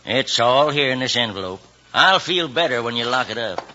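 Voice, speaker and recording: An actor playing a blunt, accusing cattle hand with an American accent, insistent in 1950s Western radio style. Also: male voice, mid-range voice, lively intonation, normal speed, sharp tone. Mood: grim, blunt. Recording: muffled old radio recording.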